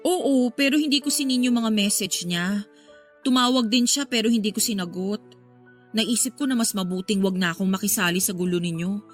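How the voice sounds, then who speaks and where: A woman's voice: mid-range voice, brisk, soft background music, lightly acted. A woman acting a concerned, level-headed friend who gives advice in a Tagalog radio drama. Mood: frank, concerned.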